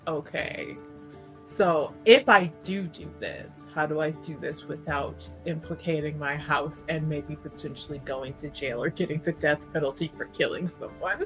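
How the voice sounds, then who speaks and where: A woman narrating a character in a tabletop roleplaying game, casually improvising in a halting, emphatic, conversational way. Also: deep voice, steady tone, faint music behind, low-quality recording.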